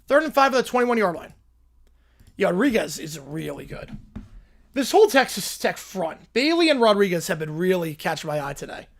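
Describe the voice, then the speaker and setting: A young man from Long Island hosts a football watch-along stream, calling each snap and then drifting into easy chat with his viewers. His voice sits fairly high for a man. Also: sing-song, moderate pace, vocal fry. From Long Island, New York. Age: 20s. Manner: chatty, answers viewer questions between plays. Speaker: livestream host and play-by-play commentator. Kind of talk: casual live play-by-play with viewer chat.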